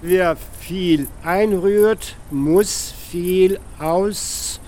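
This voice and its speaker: A man from Russia, a professor reciting proverbs in a halting, deliberate way, with vehicle noise behind. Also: high-pitched, warm tone. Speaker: language professor. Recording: faint car and outdoor noise.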